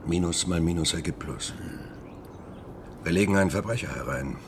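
A man's voice: low-pitched, normal speed, a little hiss or hum, close to an everyday voice. An actor playing a persuasive, defiant and theatrical man in a radio drama, speaking with insistent rhetoric. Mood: urgent, persuasive.

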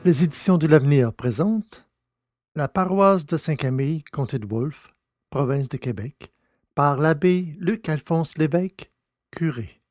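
A man narrating an old text as an audiobook in a solemn, declamatory, measured manner. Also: fairly high pitch, animated, darkish tone, faint music behind.

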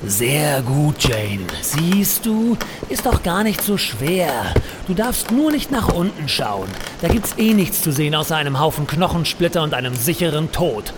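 A man's voice: a little high, very animated, unhurried, noisy background. A man playing a reckless, unflappable adventurer hero in a comedic audio drama, pompous, flippant and melodramatic.

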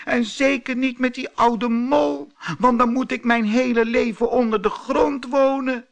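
A man's voice: extremely high pitch, fairly even intonation, unhurried, creaky, pitched above the performer's own voice, exaggerated voice. A man voicing a tiny, kind fairy-tale girl, earnest and pleading. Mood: distressed pleading.

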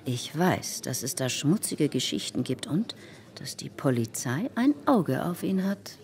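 An actress playing an imperious, scornful older society hostess in a radio play, conspiratorial and dramatic in manner. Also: female voice, a bass voice, sing-song, unhurried, exaggerated voice. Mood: conspiratorial, indignant. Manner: conspiratorial, commanding, dramatic, sinking into hushed endings. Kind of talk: German radio play acting.

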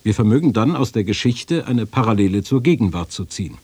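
A man reads scripted documentary quotations in a low, husky voice. The tone is dark and mellow, and the studio sound is very dry. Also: lively intonation, a little fast, no echo. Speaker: quotation reader.

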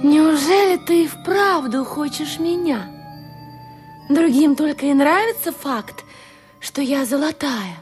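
A woman's voice: sits high, takes it slowly. An actress playing a naive, tender but touchy golden woman in a theatrical, exclamatory radio play style. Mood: tender, wistful. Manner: coquettish, exclamatory, swings from coaxing to indignation. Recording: studio radio play with music.

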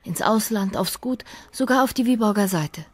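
A woman's voice: medium pitch, expressive, normal speed, no room sound, natural voice. An actress in a radio play, playing an earnest, disillusioned young woman in love, intimate and searching in manner. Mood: grave, resolute. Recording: close dry studio with faint music.

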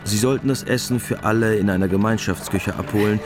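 Low-voiced man narrating a radio drama. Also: brisk, background noise. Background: music.

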